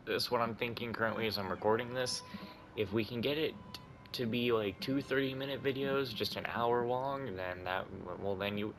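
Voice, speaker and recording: A man's voice: medium pitch, fairly even intonation, moderate pace, noisy background. A man giving casual, rambling, informal commentary as host of a video game playthrough. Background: game music.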